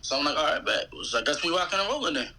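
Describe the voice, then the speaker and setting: A man's voice: a little high, big pitch swings, rapid-fire, pushed and bright. An American man in his thirties talking casually as an interview guest, in a slangy, conversational way. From United States. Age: 30s. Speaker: guest, rapper.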